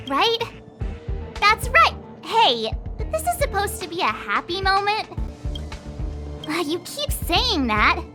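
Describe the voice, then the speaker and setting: A woman voicing a perky, breezy, self-assured teenage girl adventurer in an anime-style video game, chirpy and expressive. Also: very high-pitched, takes it slowly, long pauses, over music, heavily acted. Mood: placating.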